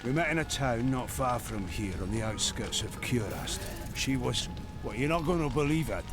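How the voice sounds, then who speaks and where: A man's voice: medium pitch, expressive, moderate pace, over music, lightly acted. A man voicing a genial, chatty townsman telling a tale in a fantasy video game. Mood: amused, reminiscing. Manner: chatty, conversational. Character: genial male storyteller, eager to share a tale.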